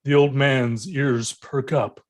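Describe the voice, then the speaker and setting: A man narrates from a script. His voice sits at a middle pitch as he reads the stage directions aloud. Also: fairly even intonation, takes it slowly, slight background noise.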